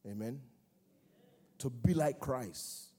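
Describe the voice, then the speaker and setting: Slow, mellow man preaching in a hall. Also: mid-range voice, slightly rough. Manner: rhythmic repetition, call-and-response phrasing. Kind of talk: sermon. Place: large church hall. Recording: long church hall reverb.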